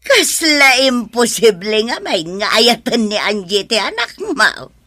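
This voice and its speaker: A woman's voice: fairly high pitch, very animated, measured pace, bright, forceful tone, no room sound, heavily acted. A woman with an Ilocano accent acting as a nosy, skeptical middle-aged mother in a radio drama. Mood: incredulous.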